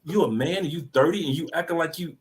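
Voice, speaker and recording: Man, 50s, preachy and emphatic, slightly higher voice, hammers points home by repeating them. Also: quick pace. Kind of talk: passionate call-in discussion.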